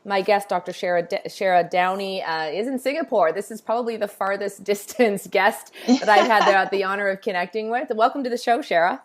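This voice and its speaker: A woman's voice: mid-range voice, fairly quick, hardly any pauses. Chatty, upbeat woman podcast host. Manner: enthusiastic, chatty. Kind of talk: casual interview chat. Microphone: remote call setup.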